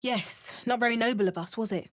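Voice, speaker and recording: A woman's voice: a little high, fairly quick, close to an everyday voice. A woman with an English accent voicing a hardened, wry female adventurer in a video game, making dry remarks and chanting spells. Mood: brooding. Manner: chants spell words in a lively, sing-song way. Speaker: player character.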